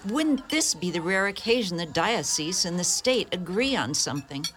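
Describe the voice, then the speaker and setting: A woman playing an eager, apologetic nun in a community radio play, earnest and expressive. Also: fairly low pitch, moderate pace.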